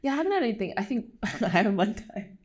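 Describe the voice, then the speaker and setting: A woman's voice: fairly high pitch, very animated, quick pace, a little mellow. A woman with an American accent chatting casually as a podcast guest, in a conversational way. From United States. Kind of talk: casual chat.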